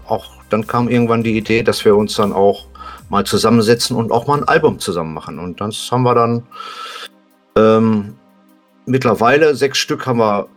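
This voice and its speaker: A man talking casually as an interview guest, explaining things in a colloquial, plain-spoken way. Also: mid-range voice. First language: German. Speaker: podcast guest, hobby musician.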